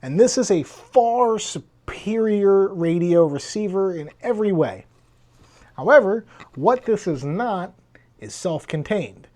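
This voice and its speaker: A man's voice: high-pitched, expressive, takes it slowly, darkish tone. A man giving a casual hands-on gadget review in a conversational, explanatory way. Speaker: tech reviewer.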